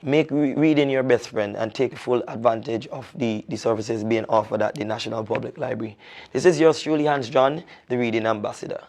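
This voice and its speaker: A man speaking as a reading ambassador in an expressive children's storytelling style, deliberate, with dramatic pauses. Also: mid-range voice, gravelly.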